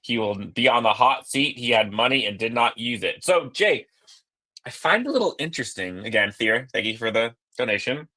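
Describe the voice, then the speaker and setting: A man in his thirties co-hosting a sports podcast from a hotel business center over a laptop call, a little hoarse, quick and joking. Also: mid-range voice, lively intonation, sharp tone. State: slightly hoarse voice. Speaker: podcast co-host. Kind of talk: casual sports podcast chat. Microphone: laptop on video call.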